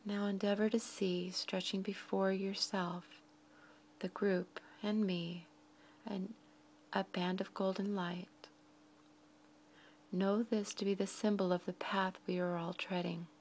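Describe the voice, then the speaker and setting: A woman with a mid-range voice guides a meditation. Her delivery is soft, breathy and deliberate, set out in slow, short phrases with pauses between them. Noise is clearly audible behind her. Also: mid-range voice.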